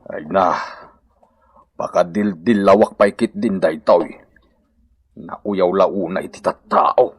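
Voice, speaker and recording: Raspy, grumbling old husband. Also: male voice, low-pitched, slow pace, long pauses, dry recording, studio quality, exaggerated voice. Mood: complaining. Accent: Ilocano. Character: ailing, grumbling elderly husband in a village comedy. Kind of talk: Ilocano radio comedy drama acting.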